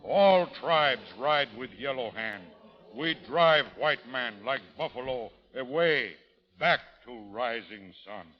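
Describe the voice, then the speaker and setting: An actor playing a proud, vengeful Cheyenne war chief in an old radio drama, speaking solemn, halting broken English. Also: male voice, mid-range voice, lively intonation. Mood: solemn, defiant. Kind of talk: 1930s radio drama acting. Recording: muffled old radio recording, long reverb.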